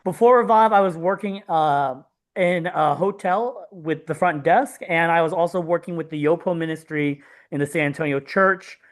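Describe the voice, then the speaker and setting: A man's voice: a little high, normal speed. Man from Texas, casual introduction. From Texas, United States. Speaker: missionary program participant being interviewed. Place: video call.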